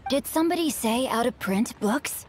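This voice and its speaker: Casting: woman as chivalrous young scholar-swordsman for a game, courteous, formal, higher register. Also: animated, normal speed, a little mellow, a little hiss or hum, natural voice. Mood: courteous, eager. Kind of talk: video game character acting. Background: faint music.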